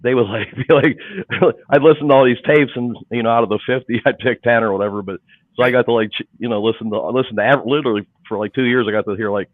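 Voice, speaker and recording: A man in his fifties, chatting casually on a podcast, halting and stammering as he talks. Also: medium pitch, steady tone, no room sound. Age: 50s. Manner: halting, stammers and restarts, laughs into his words. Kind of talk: casual chat. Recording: dry, tinny remote call line.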